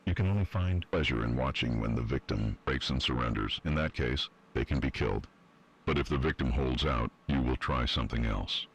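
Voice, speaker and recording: Extremely deep, husky villain, played by a man. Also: a little fast, full character voice, low-quality recording. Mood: cold, menacing. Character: cold, sadistic male villain reading instructions. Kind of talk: scripted step-by-step horror narration.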